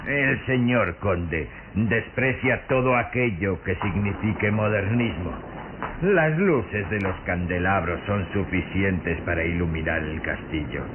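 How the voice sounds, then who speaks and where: Slow, deep, sinister manservant. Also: male voice. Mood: ominous, cryptic. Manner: ominous, deliberate, formal, with menacing chuckles. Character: sinister, cryptic servant of a vampire count. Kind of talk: radio drama acting. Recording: muffled, noisy old radio drama recording.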